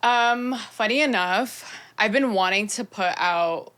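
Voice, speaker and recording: Young woman podcast guest, talkative and easygoing, mid-pitched. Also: slow pace, a bit bright, clean tone. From Persian heritage, fluent in Farsi. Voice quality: low-pitched singing voice. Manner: chatty, heavy use of "like", laughs often. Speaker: guest singer-songwriter. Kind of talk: casual podcast chat.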